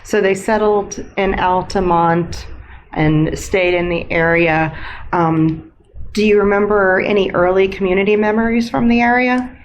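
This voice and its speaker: A woman's voice: mid-range voice, steady tone. Polite woman interviewer, faint room hum. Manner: polite, measured, formal questioning. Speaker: oral history interviewer. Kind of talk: formal interview with scripted intro. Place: library room.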